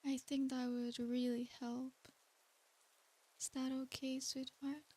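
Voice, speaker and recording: A high, breathy female demon, acted by a woman dotes on the listener in an ASMR roleplay. This tender succubus coaxes softly and soothingly, taking her time over every phrase and using affectionate pet names. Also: level tone, slow. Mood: tender concern. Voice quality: soft, breathy.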